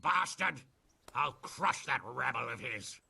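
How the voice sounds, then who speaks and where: An actor playing a blustering, battle-hungry nobleman in a video game drama, gravelly and blunt, with a taste for swearing. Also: mid-range voice, lively intonation, slow, pushed and bright, exaggerated voice. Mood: outraged. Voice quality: gravelly, raspy.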